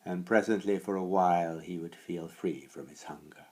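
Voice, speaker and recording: A man reading a dark storybook tale in a theatrical manner, moving between hushed and swelling phrases. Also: sits low, moderate pace. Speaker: author reading his own story. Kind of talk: dramatic storybook narration.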